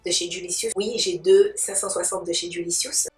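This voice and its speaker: A woman's voice: fairly low pitch, steady tone, moderate pace, darkish tone. A woman giving a casual makeup tutorial, chatting playfully in slang and addressing viewers like friends. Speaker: beauty vlogger demonstrating makeup. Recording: roomy, distant mic, music behind.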